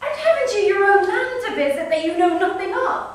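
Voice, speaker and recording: A woman's voice: very high voice, expressive, brisk. An actress playing a spirited young Irish nationalist woman who teases and presses with an Irish accent, in a stage play. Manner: teasing, needling, insistent. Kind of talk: stage acting. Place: large museum room. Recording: echoey hall, mic far off.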